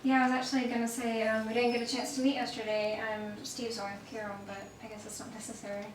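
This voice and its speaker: A poised, teasing wife played by a woman with a fairly high voice and a wry, reassuring manner. The stage drama is recorded from afar, with clear background noise. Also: level tone, fast talker, lightly acted. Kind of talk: stage play acting. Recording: distant, echoey, noisy stage recording.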